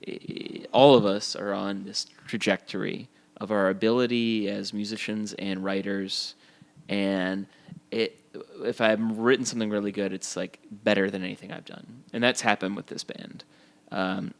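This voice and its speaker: Male band musician, low creaky voice, earnest, casual podcast talk with some swearing. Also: little pitch movement, normal speed.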